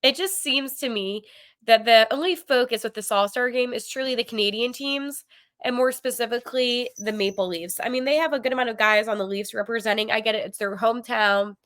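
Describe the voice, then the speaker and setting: A woman's voice: on the high side, brisk, a bit bright. A woman hosting a sports podcast, chatting with opinions in a congested, nasal voice from a cold, with frequent ums and likes. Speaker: podcast host.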